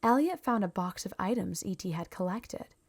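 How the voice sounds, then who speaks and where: A woman reading a children's storybook aloud in a measured, expressive storytelling manner. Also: medium pitch, slightly rough. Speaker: storybook reader. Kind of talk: scripted children's story narration.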